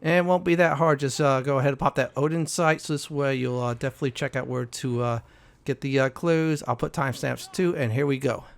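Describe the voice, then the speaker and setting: Gravelly man, very dry sound. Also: mid-range voice, dry recording. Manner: halting, hesitant delivery with frequent uhs. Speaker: gaming walkthrough host. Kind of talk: casual gameplay commentary.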